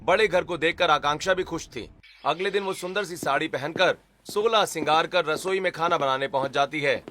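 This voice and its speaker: Guy narrator reading a family story, bright sharp voice, a bit high. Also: normal speed, faint music behind. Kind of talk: scripted Hindi story narration.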